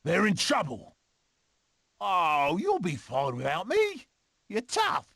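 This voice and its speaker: A man voicing a cocky, excitable furry adventurer animal in a video game, with a theatrical, exclamatory delivery. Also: high-pitched, takes it slowly, creaky, slight background noise, full character voice. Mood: cocky banter.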